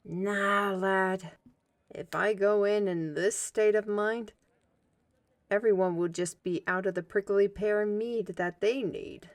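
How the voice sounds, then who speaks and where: A weary, guarded female agent with dry wit played by a woman in an audio drama. Her voice sits at a middle pitch in a dry, echo-free recording. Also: steady tone, takes it slowly. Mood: weary, brooding.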